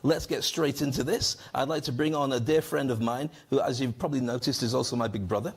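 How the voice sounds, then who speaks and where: A man's voice: mid-range voice, steady tone, quick pace, soft background music. A man hosting a live event on stage, joking with the audience and coaching them on public speaking. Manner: playful, jokey, coaxing the audience.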